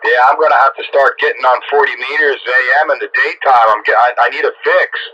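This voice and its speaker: A man's voice: medium pitch, fairly even intonation, quick pace, pushed and bright, dull audio. A man chatting casually on the air as a radio operator, heard over a two-way radio. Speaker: amateur and CB radio operator, uploader of the show. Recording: noisy band-limited CB radio transmission.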